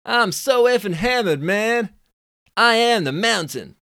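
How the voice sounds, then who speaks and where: A man's voice: sits high, animated, a little slow, sharp tone, smooth, no echo, studio quality, pitched above the performer's own voice, exaggerated voice. A man voicing a brash young American snowboarding champion with a put-on Californian accent and a boastful surfer-dude drawl. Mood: rowdy.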